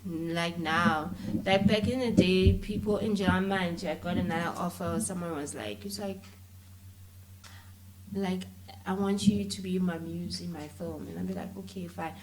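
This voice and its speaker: A woman with a South African accent speaks in a fairly low register. She is an interview guest talking casually, with an animated, slangy, informal delivery. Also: normal speed, a little hiss or hum, poor audio. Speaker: interview guest, filmmaker and actress.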